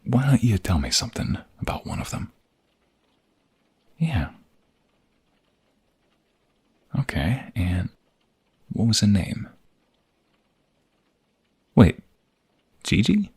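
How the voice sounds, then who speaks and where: A man playing a tender, protective young mobster in an intimate ASMR romance roleplay, speaking softly and haltingly in a husky, gravelly, breathy voice. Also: on the low side, very animated, heavily acted. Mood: amused, curious. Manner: soft, halting, gentle, intimate.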